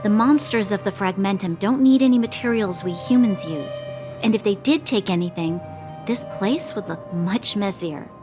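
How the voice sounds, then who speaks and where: A woman playing a calm, helpful young adventurer in a video game, speaking in an earnest, composed way. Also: mid-range voice, moderate pace, close to an everyday voice. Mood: thoughtful. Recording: music behind the voice.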